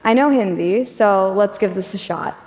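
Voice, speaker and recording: A woman's voice: mid-range voice, moderate pace, darkish tone. A woman giving a live tech demo on stage, casual and chatty with filler words. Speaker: demo presenter. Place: stage in a large auditorium. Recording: echoey hall.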